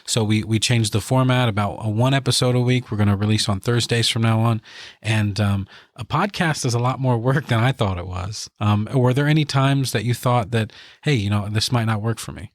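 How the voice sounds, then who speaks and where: A man hosting a podcast interview, talking in a halting, hesitant way with many fillers. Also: lowish voice, no room sound, clean studio sound. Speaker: podcast host. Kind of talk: casual interview chat.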